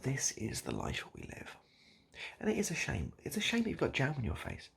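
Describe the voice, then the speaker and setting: A man improvising a comic monologue straight to the listener, halting and repeating himself in a mock-earnest, absurd way. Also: mid-range voice, wildly swooping pitch, creaky, slightly rough. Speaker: online video host.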